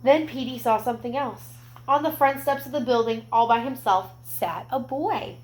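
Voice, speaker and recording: A woman reading a picture book aloud to young children, expressive, with gentle explanatory asides. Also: on the high side, moderate pace.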